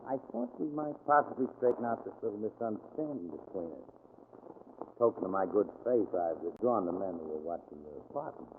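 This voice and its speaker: A smooth, mock-courteous American crime boss. His lowish voice swings expressively while it threatens. The old radio drama recording is thin and tinny. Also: animated, a little fast, noisy background. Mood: silky. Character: suave, menacing gangster boss, coolly polite.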